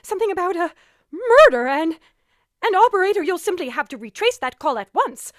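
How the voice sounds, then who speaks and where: Woman acting as a nervous invalid wife, very high voice, swooping intonation, imperious, agitated, old-time suspense radio drama. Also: sing-song, fairly quick, no echo, studio quality. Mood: horrified, frantic. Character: nervous, demanding invalid wife alone at home.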